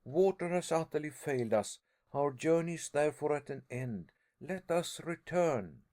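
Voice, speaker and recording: A man voicing a desperate young explorer who begs his uncle to turn back, crying out in excitement. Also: on the high side, normal speed, pitched above the performer's own voice, lightly acted. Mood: pleading. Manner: excited, pleading cries. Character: young male nephew explorer, desperate and pleading.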